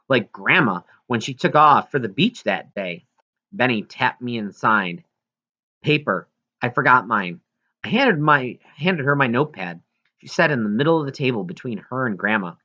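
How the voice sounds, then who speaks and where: A man reading a first-person story aloud in an expressive, earnest way, now and then stumbling. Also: medium pitch, highs cut off. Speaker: audiobook reader.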